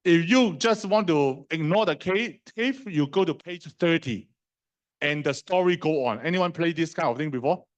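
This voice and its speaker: A man's voice: on the high side, lively intonation. A middle-aged man from Hong Kong with a Hong Kong accent, giving a live university lecture in a conversational way, asking the class questions and restarting his phrases. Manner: conversational, fast and slow in turns, asks the class questions; non-native grammar, fillers and restarts.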